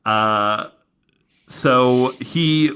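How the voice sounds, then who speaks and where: A man's voice: medium pitch, drawn-out words, bright, forceful tone, smooth. A man hosting a podcast, telling a true-crime story casually and informally from notes, with some swearing. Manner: informal, profane, acting out quoted remarks.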